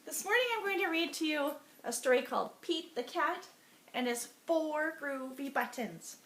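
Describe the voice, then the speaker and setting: A woman teacher reading a storybook aloud to young children in an expressive, sing-song way, asking them questions as she goes. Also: sits high, normal speed. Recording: roomy echo with faint background noise.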